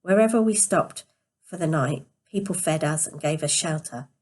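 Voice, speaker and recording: A woman reads a story aloud in a quiet, sleepy voice, careful but with the occasional stumble over the words. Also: deep voice, normal speed. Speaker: storyteller reading a novel aloud. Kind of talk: scripted story narration.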